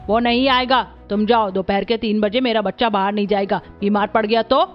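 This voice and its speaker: A woman's voice: medium pitch, steady tone, brisk, lo-fi sound, faint music behind, natural voice. A woman voicing a harsh, scolding middle-aged aunt in a cartoon family drama.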